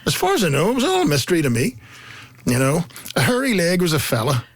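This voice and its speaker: A man's voice: a little high, quick pace, noisy background. A middle-aged man with a Belfast accent, bantering casually and telling jokey anecdotes as a talk show co-host. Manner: jokey, animated storyteller. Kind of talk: casual comic banter, anecdotes and listener comments.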